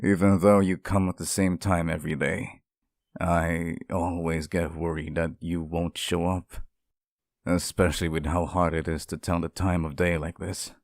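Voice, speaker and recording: A man's voice: sits low, little pitch movement, moderate pace, no room sound, studio quality. A man playing a sweet, clingy, centuries-old sealed demon in an intimate ASMR roleplay, speaking tenderly and hesitantly to one listener. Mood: anxious, fond.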